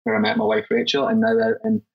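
A man's voice: medium pitch, big pitch swings, quick pace, creaky, far from the mic, highs cut off. A man from Scotland with a Scottish accent, talking casually as an interview guest and often tagging his points with "right?". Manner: chatty, often adds "right?". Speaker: guest, fitness and business consultant.

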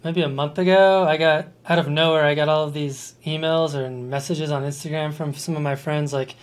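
A man's voice: on the high side, steady tone, normal speed. A middle-aged man from Colorado hosting a podcast in casual, enthusiastic chat. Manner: enthusiastic, laughs easily. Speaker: podcast host.

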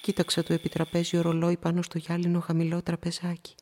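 A woman's voice: on the low side, monotone, dark tone, close to an everyday voice. An actress playing a lonely woman who tells her own story in a radio play, wry at first and then breathless with alarm, with music behind her. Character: lonely woman living alone, tense and wry. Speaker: first-person narrator character. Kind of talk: radio drama acting.

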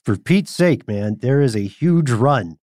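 A Southern American man with a mid-range voice co-hosts a chatty podcast, telling stories with lively energy. The recording is dry and close. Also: medium pitch, measured pace, dry recording. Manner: lively storyteller, acts out quotes. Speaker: podcast co-host. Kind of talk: casual podcast conversation.

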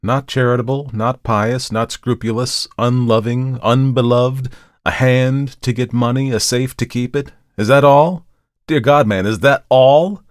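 A man's voice: mid-range voice, sing-song, moderate pace, dry recording, studio quality, pitched above the performer's own voice, natural voice. A man voicing an agitated, brooding male character in a dramatic storybook reading, speaking with bitter, pleading intensity. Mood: gloomy, accusing. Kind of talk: dramatic audiobook character acting.

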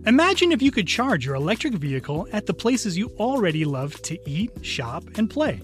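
Guy doing an ad read, high voice, super lively, faint music under it. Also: a little fast. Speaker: advertisement announcer. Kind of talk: scripted commercial narration.

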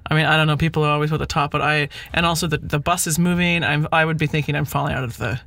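A Canadian man interviews in a casual, conversational way. His voice sits a bit high for a man and has a crackly fry, and he pauses and restarts as he thinks aloud. The recording is dry. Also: steady tone, fairly bright tone, dry recording. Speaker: interviewer, host.

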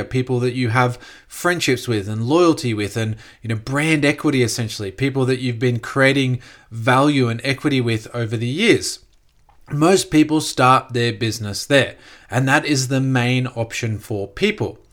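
A man hosting a solo podcast, talking in an upbeat, motivational coaching tone with a slightly croaky voice. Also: mid-range voice, fairly bright tone. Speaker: podcast host.

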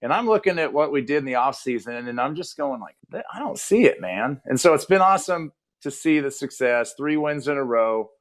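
An upbeat football coach chats casually about his team. His voice is scratchy and of middle pitch for a man. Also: lively intonation, brisk. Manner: upbeat, chatty. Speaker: football coach and regular guest. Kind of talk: casual sports talk.

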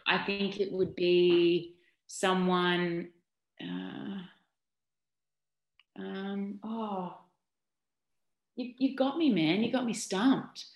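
A middle-aged Australian woman from Newcastle, a former basketball player, answering interview questions in a chatty, animated way with lots of ums and restarts. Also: mid-range voice, very slow, long pauses. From Newcastle, New South Wales, Australia. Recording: echoey room.

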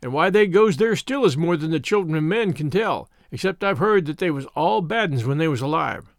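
A man's voice: fairly high pitch, expressive, a little fast, no echo, clean studio sound. A man voicing a grave, superstitious old shepherd with rustic English dialect, in a storybook reading. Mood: insistent. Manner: dialect speech, solemn warnings.

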